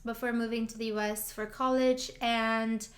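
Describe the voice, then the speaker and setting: A young adult woman from Albania, co-hosting a podcast in casual chat and telling lively stories with frequent laughs. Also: a little high, moderate pace. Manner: lively, storytelling, laughs often. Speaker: podcast co-host.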